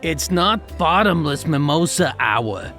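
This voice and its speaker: An actor playing a grumpy, put-upon bartender in an audio drama, dry and grudging. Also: male voice, on the high side, big pitch swings, slow pace, a bit bright, soft background music, heavily acted.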